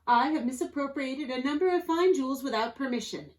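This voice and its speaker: A prim, well-spoken male rascal acted out by a woman for a children's storybook. The voice is high and very proper, with polite, formal diction. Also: brisk, close to an everyday voice. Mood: polite, earnest.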